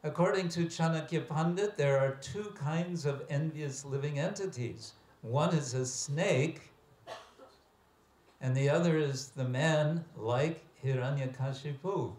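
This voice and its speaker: A man giving a scriptural lecture as a religious teacher, reading aloud and commenting with deliberate, emphatic phrasing and pauses. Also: fairly high pitch, darkish tone. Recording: echoey room with background hiss and ambience.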